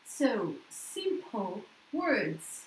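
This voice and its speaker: Russian lady teaching english, high lively voice, chatty, keeps going "yeah?", kinda echoey room. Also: drawn-out words. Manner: chatty, explains with tag questions like "yeah?". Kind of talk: casual spoken lesson.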